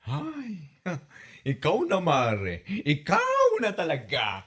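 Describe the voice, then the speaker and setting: A man's voice: on the high side, wildly swooping pitch, very slow, a bit bright, breathy edge, full character voice. Swooping, theatrical gossipy Ilocano friend acted by a man. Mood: dramatic teasing. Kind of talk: Ilocano comedy drama acting.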